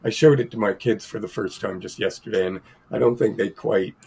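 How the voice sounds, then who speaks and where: A man co-hosting a casual film podcast, sounding croaky from a cold and rambling with many fillers and restarts. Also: lowish voice, steady tone, a little fast, a little mellow. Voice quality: gravelly, husky. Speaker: podcast co-host.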